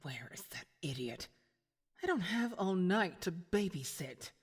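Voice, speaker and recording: Low, smug female villain acted by a woman. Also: very animated, a little slow, very noisy. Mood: irritated menace. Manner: smug, sarcastic, threatening. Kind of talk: audio drama acting.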